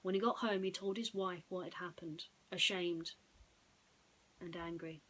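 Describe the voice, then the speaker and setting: A woman's voice: lowish voice, fairly even intonation, a little slow, a bit bright, poor audio. A woman storyteller narrating a bedtime story in a dramatic, suspenseful way.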